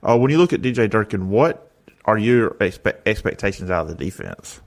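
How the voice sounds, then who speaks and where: A male host of a sports talk show with a fairly low voice. He asks his questions in a relaxed, conversational way. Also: moderate pace, gravelly. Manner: easygoing, conversational questions with fillers. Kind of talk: casual sports talk interview.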